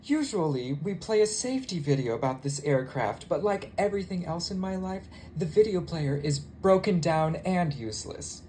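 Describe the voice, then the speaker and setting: A man's voice: a little high, expressive, moderate pace, few pauses, dark tone, a little hiss or hum, close to an everyday voice. Bitter, sarcastic jilted flight attendant, acted by a man. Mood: bitter, sardonic. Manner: sarcastic, wounded, emphatic. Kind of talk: theatrical solo monologue as cabin announcement.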